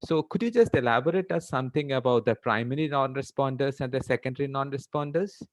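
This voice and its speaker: A man's voice: medium pitch, quick pace. Indian-accented man with stop-start phrasing. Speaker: webinar panelist, practising doctor. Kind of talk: informal question on a video webinar. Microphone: video call.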